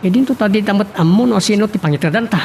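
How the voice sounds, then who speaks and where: A man's voice: sits high, fast, darkish tone, a little hiss or hum. A man playing an easygoing but shady son-in-law with an Ilocano Filipino accent in an amateur audio drama. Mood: guarded.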